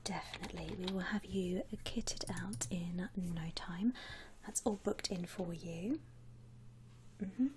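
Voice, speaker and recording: A woman playing a friendly, attentive saleswoman in a whispered ASMR roleplay, speaking softly in soothing customer-service patter with endearments. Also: lowish voice, takes it slowly, slight background noise. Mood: attentive, reassuring. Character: friendly attentive saleswoman at a vampire coffin shop. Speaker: ASMR performer.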